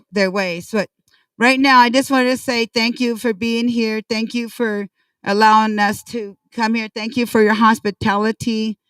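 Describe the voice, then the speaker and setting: Woman leading a ceremony, earnest and repetitive, smooth clear voice, mic well off. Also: mid-range voice, a bit bright, dry recording. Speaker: ceremony speaker. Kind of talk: ceremonial speech. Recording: distant dry pickup.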